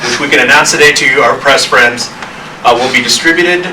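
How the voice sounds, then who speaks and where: A man opens a press conference with a formal introduction of a guest, hesitating here and there. He speaks at an ordinary pitch, but he sounds distant and roomy, with steady noise behind him. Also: fairly quick. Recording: echoey room, distant mic, noisy.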